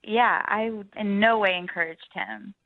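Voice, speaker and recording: Phone-line voice, young female caller, casual and conversational, medium pitch. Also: sing-song, a little slow. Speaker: phone-in guest on a radio dating segment. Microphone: phone call. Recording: thin, band-limited phone line.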